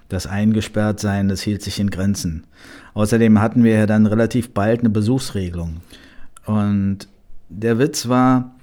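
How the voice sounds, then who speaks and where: A man in his fifties from West Berlin, telling his own life stories in a relaxed way with wry humor. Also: lowish voice. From West Berlin, Germany. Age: 50s. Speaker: interviewee. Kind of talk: personal reminiscence.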